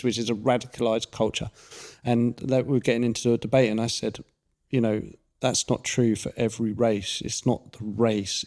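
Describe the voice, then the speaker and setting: A man's voice: mid-range voice, mellow. A man hosting a casual interview who talks in a rambling, chatty way. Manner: rambling, chatty, many fillers and restarts. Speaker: podcast host.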